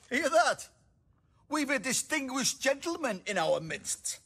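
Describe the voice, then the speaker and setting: A man playing a mocking, foul-mouthed soldier thug in a fantasy video game, with a raspy voice and a working-class British accent. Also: very high voice, big pitch swings, slow pace, fairly bright tone, heavily acted. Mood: taunting. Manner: taunting, mocking. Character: sneering male soldier thug, foul-mouthed bully with a knife.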